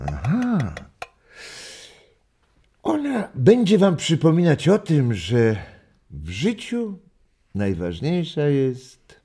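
Casting: warm old storytelling grandpa, children's audio drama, mellow tone, very wide swoops in pitch. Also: male voice, wildly swooping pitch, slightly rough, heavily acted. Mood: fond, instructive. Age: older. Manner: deliberate, reflective, with dramatic pauses.

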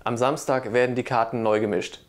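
A man's voice: mid-range voice, fairly even intonation, fairly quick, very noisy. A middle-aged man delivering a scripted sports report into a lapel mic.

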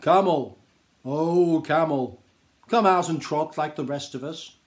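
A man's voice: on the high side, slow. A man voicing an earnest working horse in a children's story, with an emphatic, pleading manner. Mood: urging.

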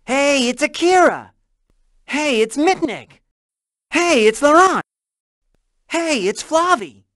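A man's voice: very high voice, animated, slow, fairly bright tone, dry recording, studio quality, exaggerated voice. A man voicing a friendly, helpful young dragon hero in a video game, with an eager, plucky manner. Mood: cheerful, eager. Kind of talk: video game character dialogue.

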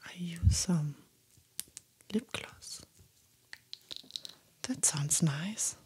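A young woman with a German accent, a native German speaker, whispering slowly and gently as an ASMR creator in a small dark studio on a close binaural microphone. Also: fairly low pitch. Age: young adult. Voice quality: breathy whisper. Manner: gentle, slow whispering with soft spoken asides.

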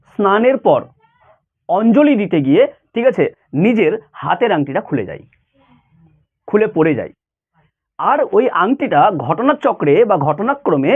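Thin phone-like audio, male teacher, highish lively voice, informal explaining, frequent check questions. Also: breathy edge. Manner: conversational, repeated check questions and rhetorical questions. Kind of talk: informal lesson, explaining a story to school students.

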